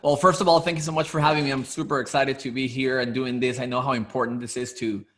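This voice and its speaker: A man's voice: medium pitch, flat delivery, quick pace, fairly bright tone. A man in his thirties from Mexico, a guest entrepreneur telling his life story in a casual interview, rambling and conversational with many fillers.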